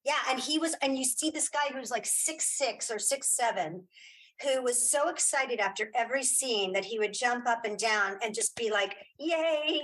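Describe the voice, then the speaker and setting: A middle-aged woman chatting casually as an interview guest, telling anecdotes in an animated, emphatic way and searching aloud for names. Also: fairly high pitch, moderate pace, breathy edge, rough recording. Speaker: interview guest, writer and director.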